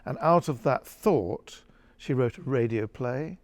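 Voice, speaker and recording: A man with a gravelly voice speaks reflectively in an interview, thoughtful and halting as he searches for his words. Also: medium pitch, lively intonation, no echo.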